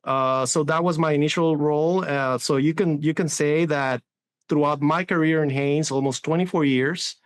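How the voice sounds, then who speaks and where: Earnest man, 40s, a bit high-pitched, clear and smooth delivery, podcast guest. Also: steady tone, normal speed. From Honduras. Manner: earnest, many restarts and tag "right?". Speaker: sustainability executive guest. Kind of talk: interview answers.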